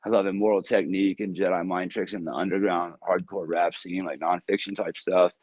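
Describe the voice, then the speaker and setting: A man with a deep voice, a music artist answering interview questions in a loose, easygoing way and laughing often. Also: fairly even intonation, a little fast, darkish tone, dry recording.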